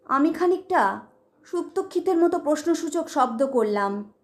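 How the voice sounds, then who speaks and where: High-pitched woman narrating a story. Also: animated, normal speed. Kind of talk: dramatic audio story narration.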